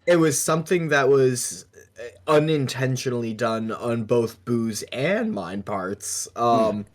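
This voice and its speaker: A young man podcast co-host talking casually and personally, in a halting, hesitant way. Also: mid-range voice, lively intonation. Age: young adult.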